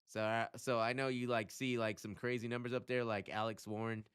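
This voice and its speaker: A man with a bright, forceful voice and clean, smooth tone hosts a casual explainer. His delivery is conversational and emphatic, at a medium pitch. He punctuates his points with rhetorical questions and short check-ins. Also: little pitch movement, brisk. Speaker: music radio commentator hosting his own channel. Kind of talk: casual explainer talk to camera.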